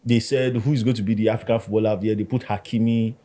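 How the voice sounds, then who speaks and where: A man's voice: fairly low pitch, fairly even intonation, racing, dark tone. A man on a football podcast panel, speaking thoughtfully, with false starts and repeated words. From Nigeria. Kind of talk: casual football podcast chat.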